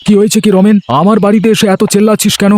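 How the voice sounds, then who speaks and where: A man voicing an excitable, good-natured village man in a cartoon, loud and effusive. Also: sits high, very fast, close to an everyday voice. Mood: puzzled, annoyed. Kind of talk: Bengali cartoon voice acting.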